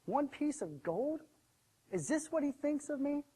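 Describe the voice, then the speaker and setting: A man playing a bitter, indignant servant who rants loudly and repeats his grievances in a storytelling sermon. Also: very high-pitched, big pitch swings, a little fast, creaky, slightly rough, exaggerated voice. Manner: loud ranting, aggrieved, repeats himself. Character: bitter, indignant male servant digging a hole.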